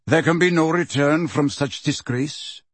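A man voicing a proud male centaur leader who speaks in a measured, smooth, stern way. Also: a little high, no room sound. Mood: cold.

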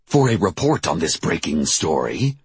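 Man acting a husky, solemn TV newsman. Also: low voice, sing-song, normal speed, dry recording, exaggerated voice. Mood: solemn, self-important. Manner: formal broadcast cadence. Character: solemn male local TV news anchor.

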